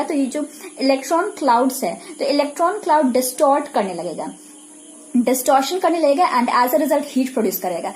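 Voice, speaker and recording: A woman's voice: high-pitched, slight background noise. A woman with an Indian accent giving an explanatory lecture in a didactic way, posing questions and then answering them herself. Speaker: physiotherapy lecturer. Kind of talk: explanatory lecture mixing Hindi with English technical terms.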